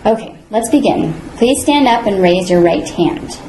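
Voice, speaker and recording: A woman's voice: mid-range voice, lively intonation, moderate pace, mellow, slight background noise. A woman playing an interviewing officer, asking friendly, polite questions. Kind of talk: scripted role-play. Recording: echoey room.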